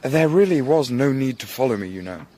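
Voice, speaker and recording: A man's voice: medium pitch, lively intonation, warm tone, slight background noise, natural voice. Male actor for young Victorian Englishman, period audio drama. Shrewd, discreet, formal and drily ironic. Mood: dry, assured. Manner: measured, formal, dryly ironic.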